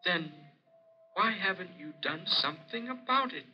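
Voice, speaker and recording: An actor playing an unseen spirit who gives eerie, insistent commands with drawn-out solemnity in 1940s horror radio style. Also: male voice, sits high, animated, slightly rough. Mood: urging, insistent. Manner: slow, drawn-out, solemn urging. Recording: dull vintage 1940s radio recording.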